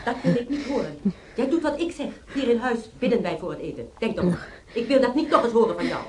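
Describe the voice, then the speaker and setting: A woman's voice: on the high side, animated, quick pace, background noise, natural voice. An actress playing a strict, domineering, pious mother in a radio drama, curt and commanding. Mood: stern.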